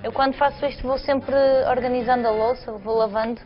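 A woman's voice: fairly high pitch, fairly even intonation, fairly quick. A young woman in her twenties with a European Portuguese accent, cooking on a TV set and giving short, agreeable answers. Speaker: guest cook. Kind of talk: cooking demonstration on TV. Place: bright TV studio kitchen set. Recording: dull broadcast sound with music.

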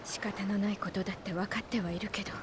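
An actress playing a gentle, supportive young companion in a video game, soft-spoken and subdued. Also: female voice, mid-range voice, fairly even intonation, fast, background noise, close to an everyday voice. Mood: subdued, sympathetic. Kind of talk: Japanese video game cutscene acting.

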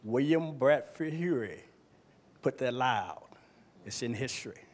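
A man speaks to an audience through a handheld mic. His voice is medium in pitch, and he makes each point firmly and deliberately. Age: 60s. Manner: firm, deliberate. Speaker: guest eyewitness. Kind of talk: personal testimony to an audience. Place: auditorium stage. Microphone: handheld stage microphone.

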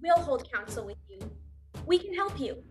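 A woman as an eager, high-voiced young girl. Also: sing-song, moderate pace, faint music behind. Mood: curious, eager. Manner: hesitant, childlike. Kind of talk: dramatic play reading.

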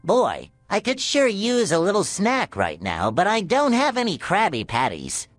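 A man voicing a jittery, excitable young male sea sponge in a fan-made story, with a high, whiny manner that turns shrill when upset. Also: unhurried, no echo, soft background music, full character voice. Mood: fed up.

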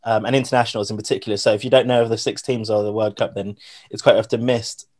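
Male podcast host, London accent, rapid casual delivery, informal and self-deprecating. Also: on the low side, steady tone, a little mellow. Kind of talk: casual chat.

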